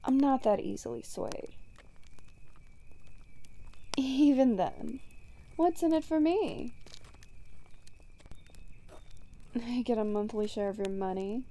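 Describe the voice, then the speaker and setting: A woman's voice: on the high side, unhurried, pauses often, mellow. A woman playing a smug, sarcastic female supervillain in an ASMR roleplay, drawling and teasing as she taunts a hero. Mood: skeptical, mocking. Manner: teasing, drawling, sardonic, casually profane. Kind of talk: ASMR roleplay audio drama monologue.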